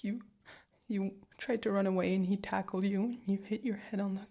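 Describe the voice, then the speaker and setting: A young woman playing an anxious, protective girlfriend in a listener-directed audio roleplay, rambling and stammering, often sinking into hushed whispers. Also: mid-range voice, steady tone, a little fast, creaky. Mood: shaken.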